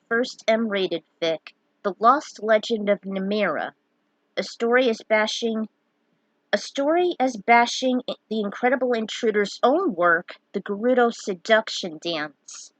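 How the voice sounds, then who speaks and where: A woman's voice: mid-range voice, a bit bright, no echo, poor audio. A woman speaks in a casual, opinionated way, like an amateur author commenting on her own writing. She is blunt and unpolished, taking her time a little, in a very dry recording with no room sound. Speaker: fan fiction author reading and commenting on own story. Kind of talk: casual author's commentary with some story reading.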